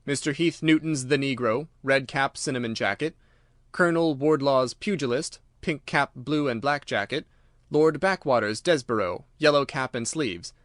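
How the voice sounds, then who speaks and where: A man's voice: mid-range voice, normal speed, a bit bright, no echo, studio quality. A man reading scripted literary narration as an audiobook narrator.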